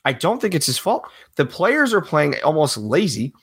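Raspy-voiced man with a cold, lively talker. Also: on the high side, moderate pace. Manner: rambling, opinionated, laughs often. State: has a cold, a little raspy. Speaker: regular podcast co-host. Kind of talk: casual sports podcast chat.

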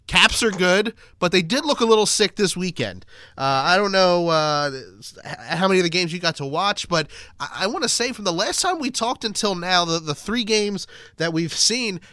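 A man's voice: high voice, expressive, normal speed, pushed and bright, dry recording. A man hosting a casual sports podcast, sounding nasal and stuffed up from a cold, chatty and rambling. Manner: chatty, rambling, self-deprecating. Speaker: podcast host.

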